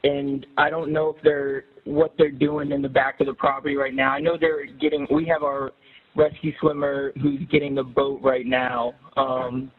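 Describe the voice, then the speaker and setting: A man's voice: medium pitch, flat delivery, normal speed. A man calling an emergency dispatcher, giving a businesslike but hesitant report over a recorded telephone line. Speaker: caller reporting an emergency, security command post. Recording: noisy, narrow recorded emergency phone line.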